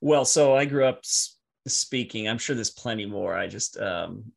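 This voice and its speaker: A man whose first language is French, telling personal stories casually as a podcast guest in a halting, reflective, easily amused way. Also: fairly low pitch, creaky.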